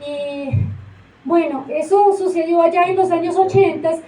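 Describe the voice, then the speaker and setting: A woman playing a halting widowed hippo mother. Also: extremely high pitch, steady tone, dark tone. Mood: hesitant. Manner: hesitant, halting, full of fillers. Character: widowed female hippo, grieving young mother. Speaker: interviewee on a radio show. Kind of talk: live stage acting. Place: small theater stage. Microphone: far camera. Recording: roomy stage from far off.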